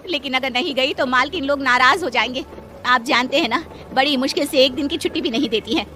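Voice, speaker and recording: A woman's voice: sits high, fairly quick, pushed and bright, a little hiss or hum. A woman voicing a poor housemaid and wife, anxious and pleading, in a cartoon. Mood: fretful. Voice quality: pleading, fretful. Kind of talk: Hindi cartoon voice acting.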